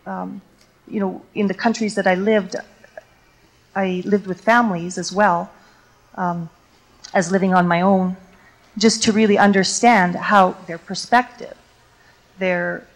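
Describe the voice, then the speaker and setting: Woman, gravelly voice, Ukrainian as first language, teacher on stage, reflective storytelling, lots of ums. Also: lowish voice, poor audio. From Canada. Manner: reflective, storytelling, frequent fillers. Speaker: teacher on a lecture panel. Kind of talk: answering audience questions. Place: lecture stage before an audience.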